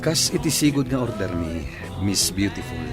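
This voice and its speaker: A man's voice: lowish voice, big pitch swings, moderate pace, music in the background. An actor playing a married village man and drinking buddy in a radio drama. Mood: playful.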